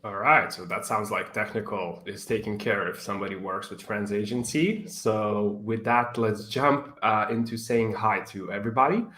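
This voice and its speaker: A man's voice: fairly low pitch, moderate pace, hardly any pauses, bright, forceful tone. A man hosting a weekly livestream, friendly and modest, chatting casually over a video call. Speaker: livestream host. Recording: echoey room.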